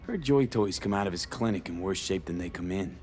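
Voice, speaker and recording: An actor playing a gruff, blunt, streetwise mercenary in a video game. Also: male voice, deep voice, animated, a little fast, a little mellow, soft background music. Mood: low-key, probing. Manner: blunt, terse. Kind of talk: video game dialogue acting.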